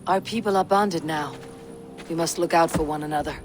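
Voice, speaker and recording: A woman's voice: deep voice, fairly quick, close to an everyday voice. A woman as a terse Norse warrior leader. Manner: terse, level, authoritative. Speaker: Viking warrior settlement leader. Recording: long hall reverb, faint noise.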